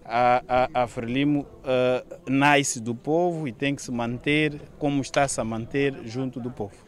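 A man's voice: mid-range voice, steady tone, a little slow. A young man with a Mozambican accent giving a press interview outdoors as a party member, through a face mask. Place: outdoors in a paved courtyard.

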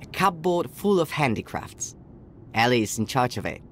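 A man's voice: mid-range voice, big pitch swings, moderate pace, slight background noise, close to an everyday voice. A man playing an earnest, caring young country boy and protective older brother, narrating reflectively in a video game.

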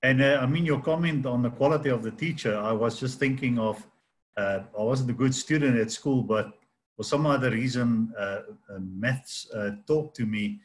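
A middle-aged man hosts an interview over a video call, asking questions in a relaxed, conversational way. His pitch is in a middle range. A faint background noise sits under his voice. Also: moderate pace. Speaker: host of virtual trade fair. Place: home office. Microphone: laptop mic on video call.

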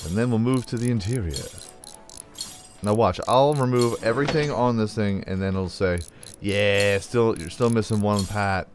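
A man's voice: on the low side, slight background noise. A man casually commenting on a mechanic game he plays, joking and talking to the parts as he removes them. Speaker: gameplay commentator. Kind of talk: casual let's play commentary.

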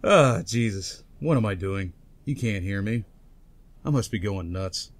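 A man's voice: on the low side, expressive, unhurried. A man playing a chatty, casual tough guy in a comic book dub. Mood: subdued, casual. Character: chatty, weary male police officer; also glib crook.

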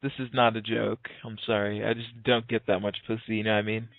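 A man's voice: lowish voice, level tone, a little fast, creaky, no room sound. A man in his early twenties making a prank phone call in a casual, slangy, hesitant way, acting sincere. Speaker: prank caller. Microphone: telephone call. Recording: narrow, tinny phone line.

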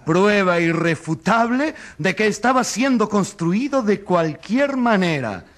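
A man's voice: high-pitched, sing-song, measured pace, fairly bright tone, full character voice. A man playing a righteous, insistent journalist who pleads emphatically in a radio courtroom drama. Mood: triumphant. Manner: emphatic, theatrical. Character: righteous crusading male journalist, insistent and defiant.

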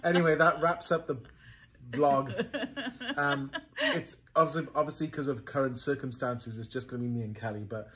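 A man's voice: mid-range voice, lively intonation, moderate pace, a bit bright. A man in his forties with a Welsh accent, co-hosting a casual shop vlog with dry, teasing asides. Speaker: co-owner of a yarn shop, co-host. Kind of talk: casual chat. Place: yarn shop, indoors.

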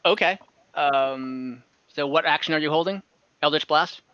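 A man running a tabletop game as dungeon master over a video call, relaxed and hesitant, thinking aloud with many fillers. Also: on the high side, measured pace, clean tone, no room sound. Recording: dull lo-fi video call audio.

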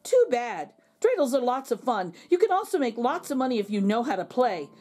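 Woman as a sly, playful storybook trickster, lively pitch. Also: fairly quick, faint music behind. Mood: breezy, playful. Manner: breezy, teasing, mock-innocent. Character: playful, sly man who outwits goblins. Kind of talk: children's storybook reading.

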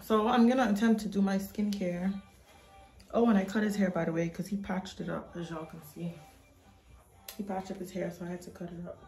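A chatty young woman in her twenties talks straight into a phone camera held close, in a small home bathroom, with a loose and informal way of addressing her viewers. Her voice sits at a middle pitch, and clear music plays underneath her. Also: warm tone. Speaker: vlogger, mother. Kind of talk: casual vlog chat to camera.

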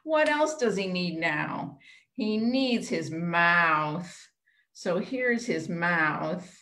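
A woman's voice: medium pitch, bright, forceful tone. A middle-aged woman leading an interactive storytime for young children, asking simple questions in short phrases over a video call. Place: small room at home, indoors. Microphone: computer mic on a video call, a step away. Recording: roomy echo, webcam video call.

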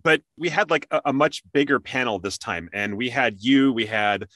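Male interview host. Bright, forward voice, dry close sound, conversational and explanatory. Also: mid-range voice, moderate pace, no echo. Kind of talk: casual interview.

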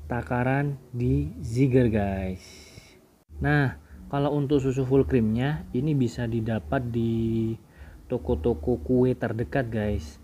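Slow, dark-voiced man explaining a drink recipe. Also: medium pitch, a little hiss or hum. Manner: relaxed, repetitive, keeps saying "guys", trails off quietly. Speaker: home cooking video host. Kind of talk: casual step-by-step drink tutorial voice-over.

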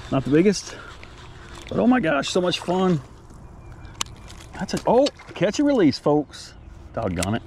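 A man's voice: high voice, wildly swooping pitch, measured pace, pauses often, darkish tone, a little hiss or hum. An American man chatting casually and in a folksy way to viewers while fishing from a kayak, recorded outdoors on an action camera. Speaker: outdoor vlogger, angler. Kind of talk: casual fishing vlog chat. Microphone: action camera at chest or head.

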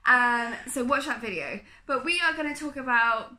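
A young woman hosting a casual chat in a living room, a little husky today, bubbly and quick to laugh. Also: on the high side, pushed and bright. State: slightly husky. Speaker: host. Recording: roomy echo.

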